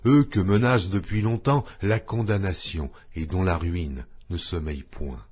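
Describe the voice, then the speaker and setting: A man giving a measured, solemn scripture reading, his phrases falling at their ends. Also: low voice, slightly rough, dull audio. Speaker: Bible reader.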